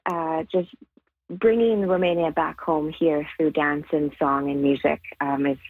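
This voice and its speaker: A woman's voice: low voice, steady tone, unhurried, no echo. A woman giving conversational answers as a guest in a radio interview. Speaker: radio guest, dance group artistic director. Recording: muffled phone-like line, very dry.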